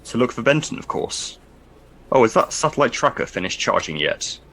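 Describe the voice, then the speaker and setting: A man playing a dry, sceptical military commander in an audio drama, clipped, polite and deadpan. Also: mid-range voice, moderate pace, slight background noise. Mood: polite, brisk.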